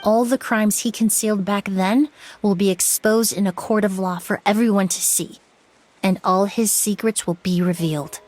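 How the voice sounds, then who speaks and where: A woman playing a composed young perfumer in a video game, measured, articulate and earnest as she explains. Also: medium pitch, fairly even intonation, a little mellow, natural voice. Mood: solemn. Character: composed young female perfumer, earnest, firm former apprentice. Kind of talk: dramatic video game voice acting. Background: background music.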